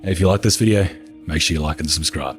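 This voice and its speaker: Calm low-voiced New man. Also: music in the background. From New Zealand. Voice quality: calm, soothing voice. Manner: relaxed, unhurried, matter-of-fact. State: hoarse from talking for over an hour. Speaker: gaming video creator answering viewer questions. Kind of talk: casual Q&A chat.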